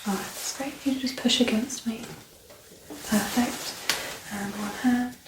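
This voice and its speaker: A woman speaking softly and gently, often near a whisper, as a doctor in an ASMR medical exam roleplay. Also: fairly high pitch, steady tone, measured pace. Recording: roomy echo, distant mic, clear background noise.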